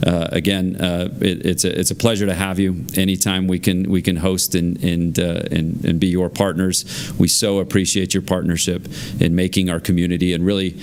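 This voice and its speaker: Deep flat-voiced mayor. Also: male voice. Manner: grateful, halting, repeating words. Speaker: city mayor. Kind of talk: thank-you remarks at a public meeting. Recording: public meeting room audio with faint noise.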